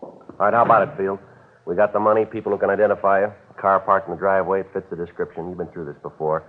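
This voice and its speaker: Actor playing no-nonsense cop, deep male voice, quick flat delivery, matter-of-fact, 1940s radio drama, thin old recording. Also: narrow, tinny audio, close to an everyday voice. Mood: pressing. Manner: clipped, deadpan, matter-of-fact. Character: terse, businesslike, no-nonsense male police detective. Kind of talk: 1940s radio acting with scripted narration.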